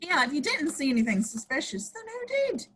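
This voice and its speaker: A woman's voice: sits high, big pitch swings, brisk, background noise. A woman voicing a sarcastic, teasing male village detective at a video call table read. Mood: mocking.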